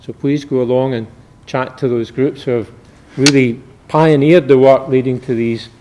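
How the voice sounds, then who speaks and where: A man, a medical researcher, giving a public academic lecture in a measured, informal explaining manner. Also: medium pitch, fairly even intonation, background noise.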